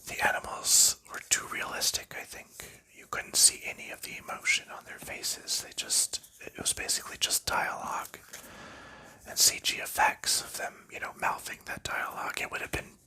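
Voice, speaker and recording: A man whispering softly as an ASMR host, rambling casually with frequent pauses and ums while he unwraps and sleeves game cards. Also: low-quality recording.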